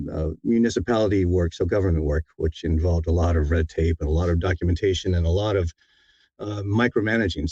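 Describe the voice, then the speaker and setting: A middle-aged man speaking as an interview guest, telling his story in a casual, reflective, somewhat halting way. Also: deep voice, dark tone, dry recording. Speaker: interview guest, audiobook narrator. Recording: slightly dull, dry audio.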